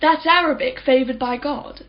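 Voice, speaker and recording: A woman's voice: high voice, moderate pace, lightly acted. An actress playing a wealthy, well-spoken woman in her forties in a radio play, chatty, polite and self-assured. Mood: breezy, chatty. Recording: slightly dull, faint crowd behind.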